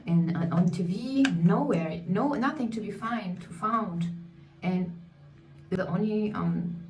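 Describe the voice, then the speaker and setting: A woman in her thirties, a beauty vlogger, chatting candidly while doing her makeup, speaking English as a second language and sometimes searching for words. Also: mid-range voice. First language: not English. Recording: echoey room, mic at a distance, faint music.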